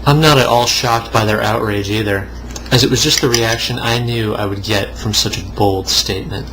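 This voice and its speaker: A man's voice: on the low side, fairly even intonation, moderate pace, noisy background. A young man reading aloud mock-seriously, with wry, sarcastic asides. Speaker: co-host.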